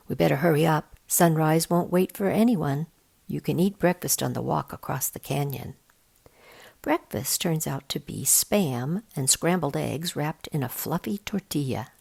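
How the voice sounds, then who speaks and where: A woman narrates a children's novel with expressive storytelling. Her voice sits low and creaks at the edges, and the pitch moves around in a lively way. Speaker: volunteer storybook reader. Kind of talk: scripted narration, reading a children's novel aloud.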